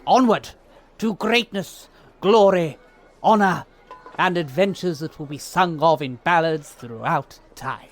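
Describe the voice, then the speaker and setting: An actor playing a glory-hungry knight, declaiming grandly in a comedy audio drama. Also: male voice, fairly high pitch, measured pace, soft background music. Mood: grand, heroic.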